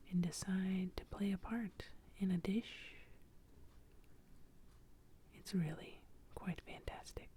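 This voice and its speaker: A woman's voice: lowish voice, a little mellow. A woman whispering the part of a kindly, motherly asparagus in a made-up vegetable story. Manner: whispered, reassuring.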